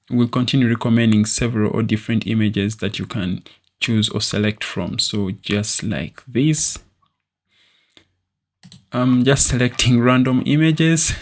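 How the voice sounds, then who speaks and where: A young man hosting a screen-recorded software tutorial, walking through steps in a steady, explanatory way with occasional fillers, recorded at a desk in a small room. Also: mid-range voice. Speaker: tutorial host.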